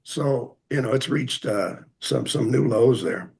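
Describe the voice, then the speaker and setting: An American man giving halting, plain-spoken public comment at a hearing, speaking earnestly and hesitantly. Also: mid-range voice, gravelly, a little mellow, husky. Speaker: member of the public addressing a commission.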